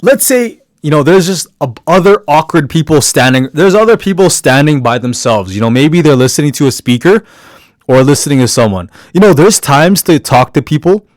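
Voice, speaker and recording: A man with a deep, loud voice that comes from the diaphragm gives casual, encouraging advice, with many fillers and restarts. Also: lively intonation, normal speed, dry recording, clean studio sound. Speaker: podcast speaker giving networking advice. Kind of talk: motivational talk.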